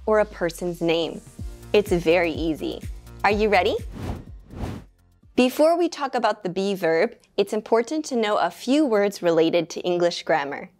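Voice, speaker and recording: Young woman, English teacher, unhurried and precise, clip-mic studio read. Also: medium pitch. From United States. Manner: slow, clearly enunciated teaching delivery. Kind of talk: scripted beginner grammar lesson. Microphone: lapel mic.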